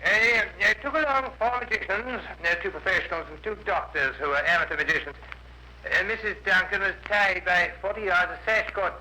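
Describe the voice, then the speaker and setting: A man's voice: high voice, lively intonation, brisk, sharp tone, full character voice. An actor playing a cocky, provocative male journalist giving dry, combative evidence in a 1950s radio courtroom drama. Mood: assertive. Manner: dry, combative, deferential titles, hesitant fillers. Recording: noisy vintage 1950s radio recording.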